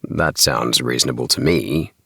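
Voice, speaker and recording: Mock-stern but mild father in a kids' audiobook, voiced by a male narrator, extremely deep, dry and close. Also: moderate pace, creaky, bright, forceful tone, breathy edge, no echo, exaggerated voice. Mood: reasonable. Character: mild, reasonable, slightly cowardly father.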